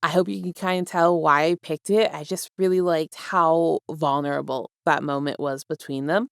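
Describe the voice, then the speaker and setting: A woman's voice: deep voice, steady tone, normal speed, gravelly, smooth, no room sound, studio quality. A woman reading fan fiction aloud as a storyteller and speaking to her listeners. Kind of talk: scripted story narration, casual outro.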